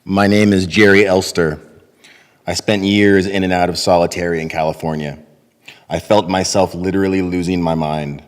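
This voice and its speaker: A man reads out a first-person testimony in a very deep voice. The sound reverberates through a hall. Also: level tone, moderate pace. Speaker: reader in a readers theater.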